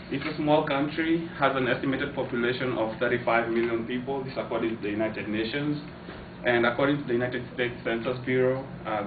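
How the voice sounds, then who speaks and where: A man from Kenya giving an informative class speech, hesitant, with many fillers and restarts. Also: medium pitch. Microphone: far camera. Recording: echoey, distant, tinny, noisy.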